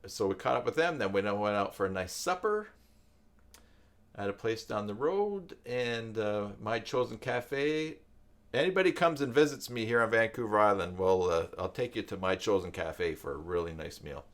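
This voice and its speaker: An older man hosting a live stream, chatting casually in a rambling, reflective way with hesitant pauses. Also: lowish voice, animated. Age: older, with grandchildren.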